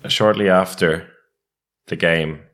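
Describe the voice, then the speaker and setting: A man's voice: deep voice, steady tone, takes it slowly, fairly bright tone. A man hosting a sports podcast in a casual, chatty style. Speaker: podcast host.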